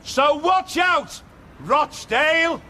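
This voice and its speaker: A man's voice: very high voice, expressive, drawn-out words, bright, forceful tone, a little hiss or hum, full character voice. A man playing a blunt, blustering English football manager who stammers when flustered, in comedy acting. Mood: rousing, defiant. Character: blustering, exasperated, down-to-earth male English football club manager.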